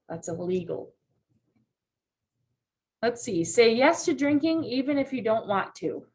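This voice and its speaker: A woman's voice: medium pitch, slight background noise. Patient woman teacher asking questions. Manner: patient, checks in with frequent "right?" questions. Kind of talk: classroom lesson read-aloud.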